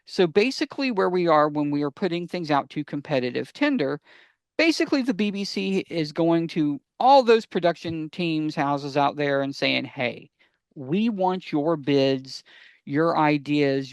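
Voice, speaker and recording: A man's voice: fairly high pitch, animated, measured pace, clear, resonant tone, no room sound. An American man co-hosting a podcast, explaining things emphatically and repeating phrases for stress. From United States. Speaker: podcast co-host.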